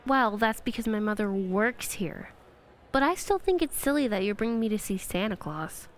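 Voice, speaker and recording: A woman's voice: medium pitch, animated, normal speed, close to an everyday voice. A young female performer playing a precocious, skeptical little schoolgirl in a radio play, polite and matter-of-fact. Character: precocious, skeptical, practical little second-grade girl.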